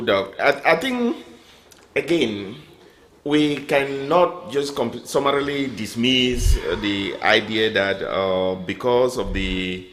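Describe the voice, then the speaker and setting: A man hosting a political panel, speaking hesitantly and filling his pauses with uh. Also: medium pitch. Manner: hesitant, many uh fillers. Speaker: host.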